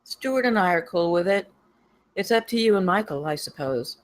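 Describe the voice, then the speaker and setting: Woman reading a lower-voiced, knowing wife. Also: expressive, moderate pace, close to an everyday voice. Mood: knowing, matter-of-fact. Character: knowing, experienced, easygoing married swinger woman. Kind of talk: screenplay table read.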